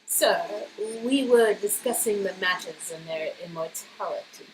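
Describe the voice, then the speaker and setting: A woman's voice: lowish voice, unhurried, a little hiss or hum, voice pushed down, close to an everyday voice, rough recording. Woman acting Cecile, a prim, restrained assistant. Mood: corrective. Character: Cecile, prim businesslike female assistant, dryly exasperated. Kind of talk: amateur dramatic reading of game dialogue.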